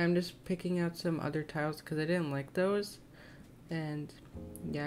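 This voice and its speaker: A young woman with a slightly scratchy voice giving casual, tentative, rambling voiceover commentary on a game build. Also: deep voice. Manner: rambling, self-conscious, tentative. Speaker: gaming video creator. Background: soft plucked guitar music.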